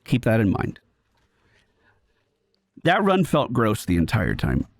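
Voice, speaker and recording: A man doing live gaming commentary, excitable and exclamatory, swearing and rapidly repeating words. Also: lowish voice, vocal fry, no echo. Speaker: video game streamer.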